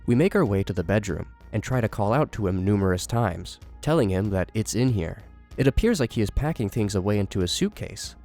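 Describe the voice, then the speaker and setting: A man with a low voice narrates and comments on a horror series from a script. Faint music runs behind his delivery. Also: lively intonation, a little fast, warm tone.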